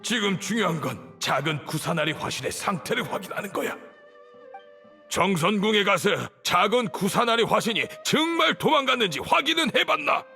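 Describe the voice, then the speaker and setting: An actor playing an imperious, suspicious older grand sage in a game, with a haughty, commanding manner. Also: male voice, high voice, expressive, moderate pace, gravelly, bright, forceful tone, faint music behind, full character voice. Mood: commanding, troubled. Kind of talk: dramatic Korean game voice acting.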